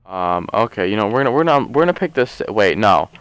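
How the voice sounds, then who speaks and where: A man casually commenting on his gameplay, rambling and joking as he thinks aloud, a little hoarse from a long session. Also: on the low side, big pitch swings, fast, fairly bright tone, dry recording. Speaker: let's play host.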